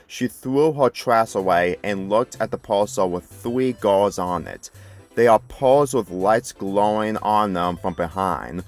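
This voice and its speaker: A man reading a fan fiction story aloud as scripted narration. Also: lowish voice. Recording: music playing behind the voice.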